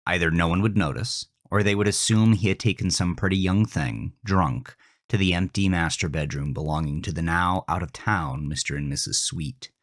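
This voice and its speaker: A man hosting and narrating a scripted horror story in an intense, deliberate, dramatic way. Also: sits low. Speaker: host, author and narrator.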